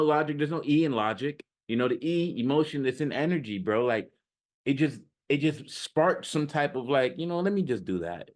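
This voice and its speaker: Male self-improvement coach, mid-pitched, off-the-cuff and emphatic, slangy and repetitive, frequently checking in with the listener. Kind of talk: casual off-the-cuff motivational talk.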